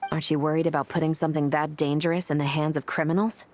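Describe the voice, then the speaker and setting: A woman's voice: low voice, little pitch movement, fast, darkish tone, a little hiss or hum, close to an everyday voice. A woman playing a shrewd, skeptical investigator in a video game, speaking in a dry, matter-of-fact way.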